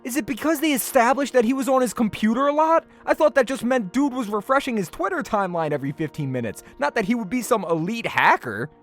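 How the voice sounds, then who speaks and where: A man gives an opinionated, scripted review in the style of a video essay, dropping in casual asides. His delivery swings widely in pitch and sounds exasperated and sarcastic, leaning on key words for emphasis. Soft music plays behind his voice. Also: lively intonation, fast talker, hardly any pauses. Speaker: anime reviewer.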